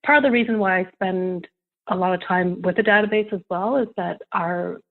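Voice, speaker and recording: A young adult woman from Canada, a guest answering interview questions in a measured, explanatory way. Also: lowish voice, gravelly. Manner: measured, explanatory, occasional soft laugh. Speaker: guest, solo prospect researcher. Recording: tinny phone-like line.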